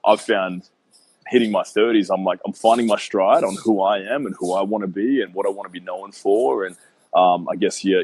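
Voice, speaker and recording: A man's voice: low voice, darkish tone, slightly rough, low-quality recording. A man in his mid-thirties, a guest talking earnestly about his work in a casual interview, with a rambling style full of "um" and "you know". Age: 30s. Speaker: guest, business owner.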